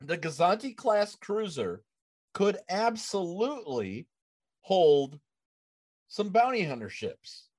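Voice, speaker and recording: A man co-hosting a casual gaming podcast, speaking deliberately with pauses between his phrases. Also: high-pitched, very animated, darkish tone. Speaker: podcast co-host.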